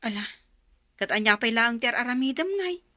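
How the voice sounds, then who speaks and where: A woman's voice: fairly high pitch, animated, moderate pace, pushed and bright, no echo. A woman with an Ilocano Filipino accent acting a frank, inquisitive employer in a radio drama, in a conversational manner. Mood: frank, curious. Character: Eva, frank questioning woman giving Cora laundry work.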